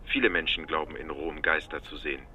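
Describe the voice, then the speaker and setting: An actor playing a cool, matter-of-fact doctor in a radio drama, asking clipped, clinical questions. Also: male voice, extremely low pitch, steady tone, brisk, pushed and bright, slight background noise, full character voice. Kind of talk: naturalistic radio drama dialogue.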